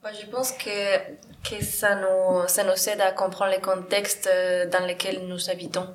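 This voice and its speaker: A woman's voice: medium pitch, level tone, unhurried, slight background noise. A woman from Colombia, a trapeze artist, answering interview questions casually with frequent hesitant fillers.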